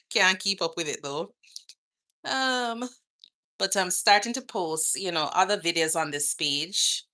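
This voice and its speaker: A woman with a Jamaican accent talks casually in a livestream Q&A, greeting her viewers by name. Her voice sits a little low but sounds bright and forceful. She is recording outdoors. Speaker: vlogger and nurse.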